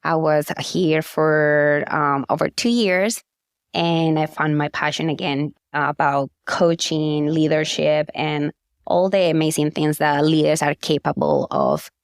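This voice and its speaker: A woman from Colombia with a Colombian Spanish accent, a guest coach talking about her background in a friendly, unhurried way with small pauses. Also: sits low, steady tone, smooth, no echo, studio quality. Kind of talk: casual podcast interview.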